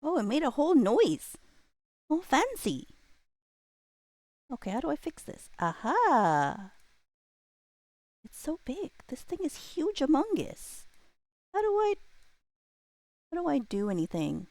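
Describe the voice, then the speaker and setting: A woman chats casually as a livestream host, rambling and playful as she mutters to herself. Her pitch moves around a great deal, often dropping into vocal fry. The sound is close and dry. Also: huge pitch swings, slow pace, long pauses, a little mellow, no echo. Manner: rambling, talks to self while working, playful.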